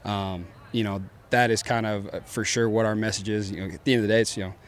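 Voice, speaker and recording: A man's voice: lowish voice, fairly even intonation, fast talker. A man with an East Texas accent, a football coach giving casual, upbeat press conference answers full of fillers.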